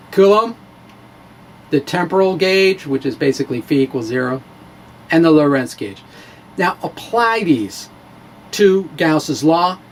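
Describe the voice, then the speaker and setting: A man with a fairly high voice reads in a wry, theatrical way, dropping into casual asides. His delivery is slow and swings widely in pitch. There is some faint noise behind him. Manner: wry, playful, theatrical emphasis. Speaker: physics blogger reading his own blog. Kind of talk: dramatic reading with casual asides.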